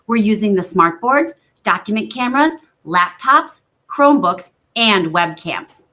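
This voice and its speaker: Woman, grade-school teacher, bright scripted thank-you. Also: mid-range voice, animated, unhurried.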